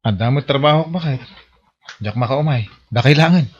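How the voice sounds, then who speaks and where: A man's voice: medium pitch, a little fast, natural voice. A man with a Filipino accent acting a hard-drinking, evasive son and husband in an audio drama. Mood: brusque. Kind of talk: amateur Ilocano radio-style drama acting. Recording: dull lo-fi audio.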